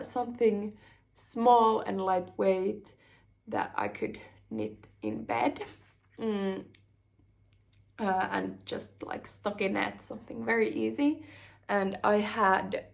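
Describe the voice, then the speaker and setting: A young woman from Finland talks through her knitting in an easy, rambling way, with little fillers and soft laughs. Her voice still carries some roughness from a recent illness, with noticeable fry, and she speaks at a slow, unhurried pace in a mid-range pitch. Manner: relaxed, rambling, many fillers and small laughs. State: voice still recovering from a cold. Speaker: knitting podcast host. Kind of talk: casual chat to camera.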